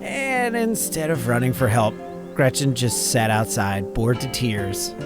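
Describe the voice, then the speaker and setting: A man's voice: medium pitch, lively intonation, unhurried, over music, lightly acted. Man voicing a breezy, chatty young woman. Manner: breezy, rambling, shrugs off danger. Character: chatty, upbeat young woman who hunts cryptids. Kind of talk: reading visual novel dialogue aloud.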